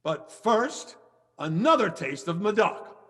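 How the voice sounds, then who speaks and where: A man's voice: high voice, very animated, slow pace, a bit bright, full character voice. A man playing a proud, stubborn drunken reveler with a cold, speaking with theatrical bluster in a period drama. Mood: dismissive. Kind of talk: theatrical period drama acting over video call. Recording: long reverberant echo, music behind.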